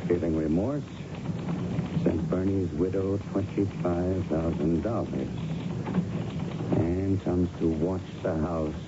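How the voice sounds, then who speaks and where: An actor in a 1970s radio drama playing a methodical police investigator with a formal, deliberate, dry manner. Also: male voice, deep voice, fairly even intonation. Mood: measured, reasoning. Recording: muffled radio broadcast with loud noise.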